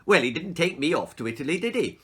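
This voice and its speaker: A man's voice: fairly high pitch, animated, quick pace, husky. Man putting on a cheeky, defiant little boy. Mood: doubtful. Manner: insolent, argumentative.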